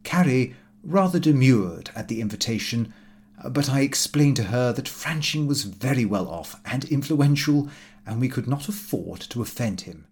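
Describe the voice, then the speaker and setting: A man reading a comic first-person diary as an audiobook, in a measured, fussy, expressive storytelling manner. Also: medium pitch. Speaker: audiobook reader.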